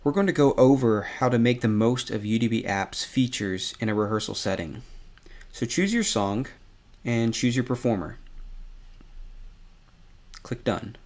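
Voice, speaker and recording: A man narrating a step-by-step software tutorial as an instructor, in a conversational, explanatory way. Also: mid-range voice, expressive, unhurried, pauses often.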